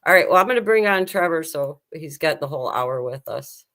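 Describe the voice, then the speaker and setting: A woman's voice: low voice, lively intonation, fairly quick, fairly bright tone. A woman hosting a casual live stream, talking in a chatty, informal way. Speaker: show host.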